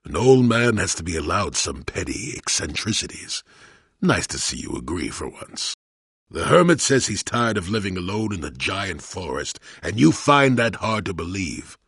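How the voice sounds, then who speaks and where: An actor playing a cantankerous, wry old male Jedi hermit in a video game, with a gravelly, husky voice and a grumbling, sardonic manner. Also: low-pitched, normal speed, dry recording, clean studio sound, exaggerated voice. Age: older. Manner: grumbling, sardonic, theatrically expressive. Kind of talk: video game character dialogue.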